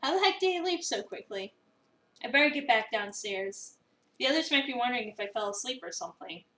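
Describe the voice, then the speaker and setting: A woman narrating a visual novel as an expressive first-person dramatic reading. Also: on the high side, fairly quick. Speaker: narrator, streamer. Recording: roomy, echoey, distant mic.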